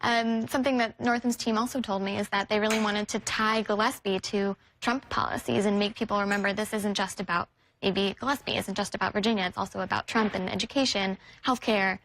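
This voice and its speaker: A woman reporter giving live news analysis in an explanatory, measured way with long, detailed sentences. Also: mid-range voice, steady tone, a bit bright, poor audio. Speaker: political reporter interviewed as a news commentator.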